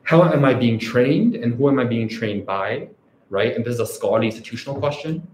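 A man's voice: medium pitch, brisk, a little mellow, slight background noise. Reflective male academic in an echoey room. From Canada. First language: Urdu. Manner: reflective, often ends points with "right?". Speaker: academic researcher. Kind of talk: scholarly talk.

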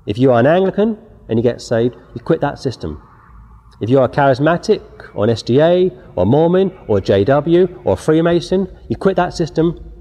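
A man preaching outdoors with a dark, mellow voice. He reads scripture and drives his warnings home with emphasis. His words trail off into a long, ringing echo. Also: a little high, very animated, moderate pace. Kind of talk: outdoor sermon. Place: outdoors before scenery.

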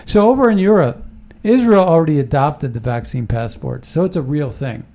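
Man, podcast host, rambling casual talk, emphatic, fairly high-pitched. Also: expressive, normal speed, warm tone. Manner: rambling, emphatic, with frequent restarts.

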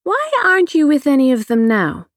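A woman's voice: sits high, big pitch swings, moderate pace, dry recording, studio quality, pitched above the performer's own voice. A woman voicing a chatty, blunt little girl of about five in an audiobook, full of childlike questions. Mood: inquisitive, blunt. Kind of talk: audiobook character voice.

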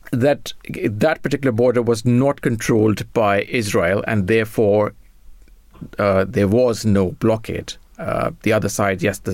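A man hosts a live radio talk show, his voice gravelly with a fry at the ends of phrases. He hesitates a lot and restarts his sentences often. The studio sound is close and dry. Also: on the low side, no echo. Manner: hesitant, many fillers and restarts. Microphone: studio microphone.

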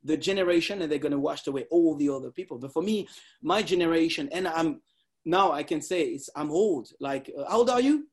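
A man, chatting casually about parkour in animated, slightly broken English with laughs. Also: a little high, brisk, slightly rough. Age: 40s. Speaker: parkour practitioner. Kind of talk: casual conversation.

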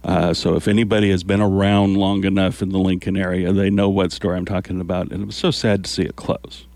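A fairly low-voiced man hosts a community radio show, rambling easily with plenty of "uh"s. He sits close to a studio mic that sounds dry and close. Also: fairly quick, no echo. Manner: relaxed, rambling, frequent "uh" fillers. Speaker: radio host. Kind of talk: casual community radio chat. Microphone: studio microphone.

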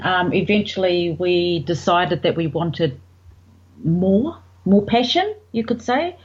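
Husky New Zealand woman, chatty. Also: lowish voice, darkish tone. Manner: chatty, fills with um, quotes others. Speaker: singer, interview guest. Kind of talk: casual interview answers. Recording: dull lo-fi audio.

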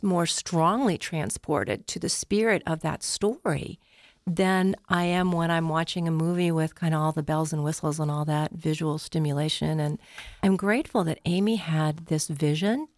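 An older woman with a fairly low voice, recorded close and dry in a studio. She talks reflectively as an interview guest, stressing her words deliberately. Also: steady tone, dry recording. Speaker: interview guest, community theater player. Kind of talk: reflective radio interview talk. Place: radio studio.

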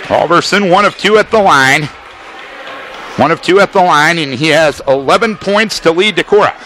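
A man calling basketball play-by-play for radio, his voice high and bright as he tracks each pass, the score and the clock. Faint arena noise sits behind him. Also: normal speed, some chatter behind. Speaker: play-by-play announcer.

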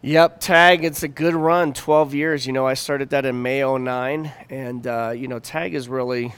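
A man in his fifties with a smooth, clear voice talks in a casual interview as a chef and restaurant owner. His way of speaking is loose, slangy and conversational. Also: mid-range voice, fairly even intonation, a little slow, a bit bright. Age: 50s. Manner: informal, slangy. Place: downtown Denver venue.

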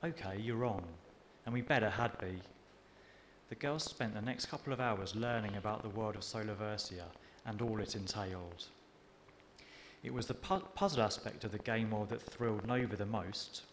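A man reads from his own novel at a live literary evening. His narration is steady and unhurried, set in a lowish register. There is a faint hiss behind him. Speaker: author reading his fiction. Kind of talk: reading aloud at a live literary event.

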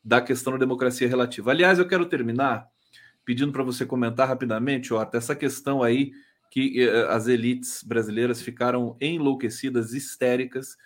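A Brazilian man with a mid-range voice hosts a live talk show in a casual, chatty way. His delivery is playful and teasing, with some halting repetition as he works toward his point. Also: medium pitch, steady tone. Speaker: host.

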